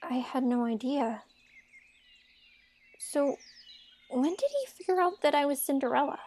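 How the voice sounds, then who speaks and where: Young fairytale heroine female actor, high voice, outspoken and emphatic, amateur radio-play delivery. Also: expressive, measured pace, darkish tone. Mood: incredulous, indignant. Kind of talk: amateur audio drama acting.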